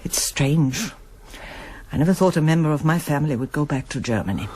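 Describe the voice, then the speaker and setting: Guarded, husky middle-aged mother. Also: low-pitched, lively intonation, brisk, darkish tone. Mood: troubled. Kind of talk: naturalistic radio drama acting.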